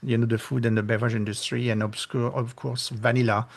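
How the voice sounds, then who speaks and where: A middle-aged man with a French accent, talking as a guest author in a casual interview, with many pauses and fillers, lively when he retells conversations. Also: mid-range voice, vocal fry. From France.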